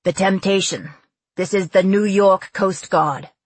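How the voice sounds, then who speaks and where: A woman voicing a jovial, chatty Coast Guard man with a Brooklyn accent, loud and informal, in an audiobook. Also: mid-range voice, a little slow, breathy edge, no room sound. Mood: official.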